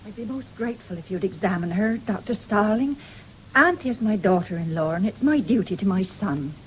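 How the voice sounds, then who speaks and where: An actress in a 1970s radio drama playing a stern, stubborn farming widow who is blunt and forthright. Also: female voice, mid-range voice, expressive, moderate pace, natural voice. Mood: worried. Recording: noisy old radio drama recording.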